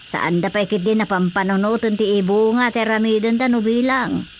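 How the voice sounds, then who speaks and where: A knowing older village wife played by a woman talks in an easy, conversational radio drama style. Her voice sits at a middle pitch, over faint ambient noise. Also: steady tone, moderate pace, lightly acted. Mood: concerned.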